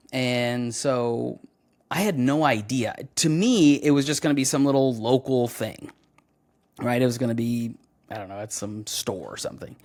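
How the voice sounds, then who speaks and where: A young adult man, a voice actor, chatting casually in an interview, relaxed and full of fillers as he tells stories. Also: medium pitch. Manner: relaxed, storytelling, many fillers. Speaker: voice actor guest.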